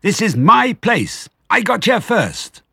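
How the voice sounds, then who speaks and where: A shrill, bad-tempered storybook rat, shrieking high and loud with indignation. It is quarrelsome and possessive, with a rough edge to the voice. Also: male voice, very animated, takes it slowly, dry recording, studio quality, full character voice. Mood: indignant, shrieking.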